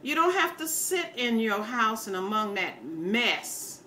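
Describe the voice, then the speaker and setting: A woman's voice: fairly high pitch, big pitch swings, takes it slowly, sharp tone. An older American woman giving an impassioned, off-the-cuff rant to viewers in a blunt, scolding, emphatic and folksy way. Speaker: online ranter, self-styled grandma. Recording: noticeable background noise.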